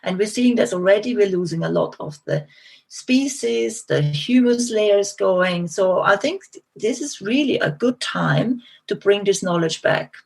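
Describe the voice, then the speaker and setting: A middle-aged woman from Austria with an Austrian German accent, a guest telling her story in a relaxed interview with many small restarts and fillers. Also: medium pitch, unhurried, creaky, husky. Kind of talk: interview conversation.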